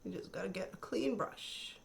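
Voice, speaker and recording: A woman's voice: mid-range voice, moderate pace, gravelly. Chatty woman, slightly nasal from a cold. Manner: chatty, admiring, thinking aloud while applying makeup. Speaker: beauty vlogger. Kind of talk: casual makeup tutorial chat.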